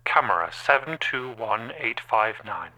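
An actor playing a cold, detached security camera that reports what it sees in a halting, deliberate monotone for an audio drama. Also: male voice, on the low side. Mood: flat, uncertain. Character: male security camera, cold detached slow-thinking machine observer. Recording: clearly noisy background.

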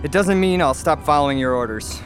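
Actress playing deep-voiced woman on a space crew, cynical n blunt, sarcastic, audio drama with music behind. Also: brisk, natural voice. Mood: angry, defiant. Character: blunt, cynical colony crew member defying the commander.

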